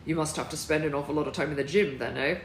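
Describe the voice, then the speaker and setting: A woman's voice: sits low, fast talker. A woman voicing a stuffy middle-aged father, formal, sceptical and bluntly questioning, in a storybook reading. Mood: pointed. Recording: roomy echo, background noise.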